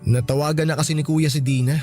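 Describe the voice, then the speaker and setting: Casting: man to play young Filipino husband for radio drama, high voice, torn and pleading, music behind. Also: steady tone, quick pace, darkish tone, lightly acted. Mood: hesitant, uneasy. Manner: hesitant, pleading, defensive. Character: conflicted young husband, apologetic, loyal to his brother.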